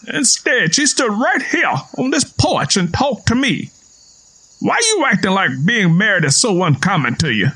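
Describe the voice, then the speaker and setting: Man voicing a high-pitched, taunting Southern man. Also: very animated, unhurried, pushed and bright, slightly rough, heavily acted. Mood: defiant. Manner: folksy, taunting, argumentative.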